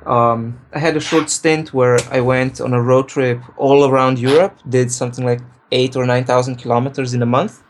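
Man from slovenia, guest on a tech interview, relaxed, thinks aloud, mid-pitch voice. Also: moderate pace, a little hiss or hum. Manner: relaxed, thinks aloud with ums and self-corrections. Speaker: programmer and guest. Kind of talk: casual interview answers.

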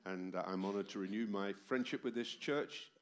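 A man's voice: on the low side, moderate pace. A man in his seventies preaching a sermon to a congregation, with a voice tired from a cold and a habit of asking the room rhetorical questions. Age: 70s. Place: church hall with a congregation. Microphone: amplified microphone.

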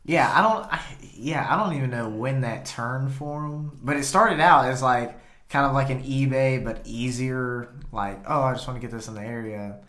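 A man co-hosting a podcast, relaxed and full of fillers, chatting casually. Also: mid-range voice, normal speed. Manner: relaxed, filler-heavy, laughs easily. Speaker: podcast co-host. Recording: echoey room.

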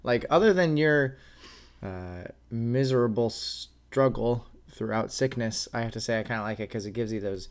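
Man, podcast co-host, casual chat, rambling jokes, quick asides. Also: mid-range voice.

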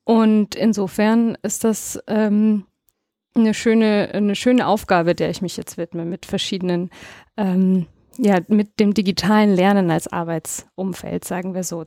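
A woman introducing herself as a podcast guest, talking hesitantly with many pauses and fillers. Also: mid-range voice, warm tone, no echo, studio quality. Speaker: guest, project manager. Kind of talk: self-introduction in an interview.

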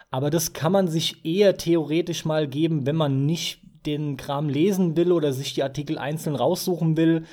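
A man's voice: a little high, warm tone. A man co-hosting a podcast, giving strong, emphatic opinions in a casual, colloquial way. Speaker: podcast co-host.

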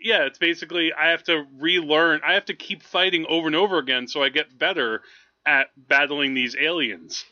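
A man co-hosting a podcast, chatting casually and jokily about movies, quick to laugh. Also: fairly high pitch, pushed and bright, muffled recording. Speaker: podcast co-host.

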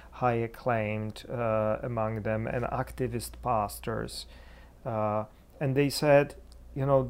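Slow, halting Czech man, creaky voice. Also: medium pitch, a little mellow. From Czech Republic. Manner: halting, searching for words. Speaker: preacher, interview guest. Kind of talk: reflective theological discussion.

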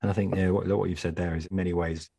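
Deep husky male host, rapid rambling, affirming. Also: flat delivery, dark tone. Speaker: podcast host. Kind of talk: casual interview chat.

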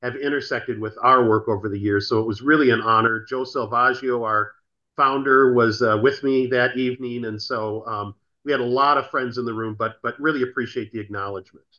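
A man hosting a podcast interview, talking in a halting way with many restarts. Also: mid-range voice, fairly even intonation, sharp tone. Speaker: podcast host. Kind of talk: interview conversation. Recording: dull lo-fi sound.

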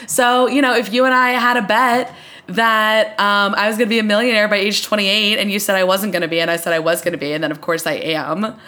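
A woman's voice: medium pitch, little pitch movement, brisk, pushed and bright. Podcast co-host, female, twenties, American. Casual pop-culture chat, playful and informal, mid-range voice. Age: 20s.

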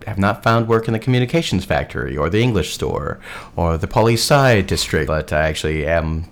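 A man in casual podcast chat, relaxed and halting with many fillers. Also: deep voice, creaky. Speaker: podcast guest host.

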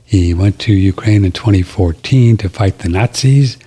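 A man's voice: fairly low pitch, moderate pace, dark tone, breathy edge. A man hosting a live talk radio show, rambling casually with many fillers.

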